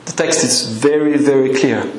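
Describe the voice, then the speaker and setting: A French man preaching in English with a French accent, his speech easy and conversational as he puts questions to the congregation. His voice sits at an ordinary middle pitch. It rings around a roomy church, with a faint murmur of ambience behind. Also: moderate pace, slight background noise. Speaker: guest preacher. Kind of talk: evening sermon with a live congregation.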